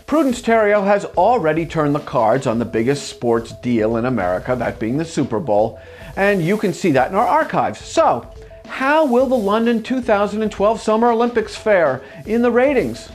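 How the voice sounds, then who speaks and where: A news anchor presents scripted items in a wry style, at a middling pitch. Music plays behind the voice. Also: sing-song, moderate pace.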